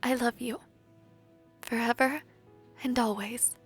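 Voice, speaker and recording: A woman playing a tender, devoted princess bride in a romantic fantasy audio roleplay, speaking in a soft, breathy, heartfelt way. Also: on the high side, fairly even intonation, slow pace, faint music behind.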